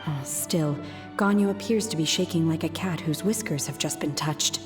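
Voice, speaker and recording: Low, deadpan, aloof young woman acted. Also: normal speed, lightly acted. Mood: detached, curious. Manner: deadpan, formal, calm. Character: aloof, earnest, literal-minded young woman. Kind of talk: video game voice acting. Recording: game dialogue over background music.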